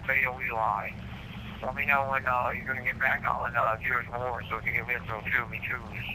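A man's voice: medium pitch, level tone, normal speed. A man leaving casual, slangy, crude prank voice messages. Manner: crude, swearing. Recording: very noisy voice-message recording.

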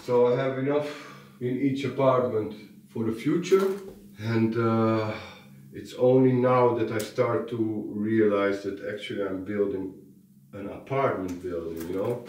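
Slow-talking man in an echoey room. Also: on the low side, darkish tone, slight background noise. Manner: slow, thinking aloud with fillers and restarts. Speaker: vlogger renovating a farmhouse. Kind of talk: casual project update vlog. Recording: roomy echo, mic at a distance.

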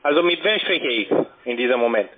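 A man's voice: medium pitch, lively intonation, fairly quick. A man working as a call centre agent, insistent and non-native in his phrasing, on a phone line. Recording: tinny narrow phone line.

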